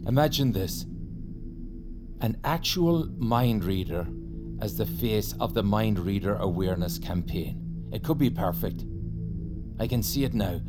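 Low-voiced man smug, persuasive official. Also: animated, measured pace, music in the background. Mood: smug, coaxing. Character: persuasive, cynical male official pressuring a prisoner. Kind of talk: audio drama dialogue.

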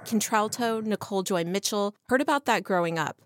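A woman's voice: mid-range voice, brisk, dry recording, clean studio sound. A woman reporter reading a scripted radio news feature.

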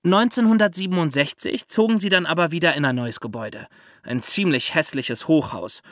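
A man's voice: a little high, fairly bright tone, no room sound. A man hosts a podcast in a very dry studio sound. He explains in a conversational, storytelling way and talks straight to his young audience. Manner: conversational, addresses listeners directly. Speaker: podcast host.

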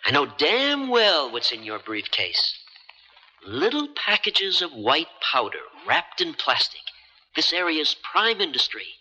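An actor playing a wry, stubborn, suspicious adventurer in a radio drama, with hesitant, colloquial asides. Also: male voice, a little high, big pitch swings, a little slow, sharp tone, big-room sound, highs cut off, heavily acted. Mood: stubborn, defiant. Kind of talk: 1970s American radio drama acting. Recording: vintage radio drama broadcast.

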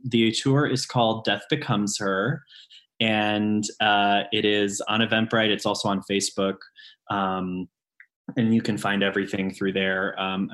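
A man in his late twenties who works as a ghost tour guide, chatting casually in an interview, with lots of ums and sudden lively jumps in pitch. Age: late 20s.

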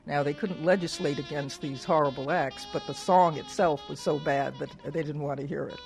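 A woman's voice: low voice, steady tone, moderate pace, creaky, over music. A woman talking conversationally as an interviewed cultural consultant.

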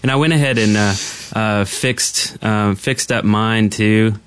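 Low-voiced, halting male podcast host. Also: a bit bright, clean tone, no echo. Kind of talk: casual podcast chat. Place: podcast studio.

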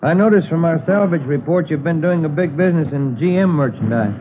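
A noisy, narrow old radio recording of a man acting a police detective. The voice is slightly high for a man, courteous and businesslike, in understated 1950s radio drama style. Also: a little fast, natural voice. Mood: polite, businesslike. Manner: plain-spoken, courteous. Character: polite, businesslike male auto theft detective. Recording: noisy, phone-like vintage radio broadcast.

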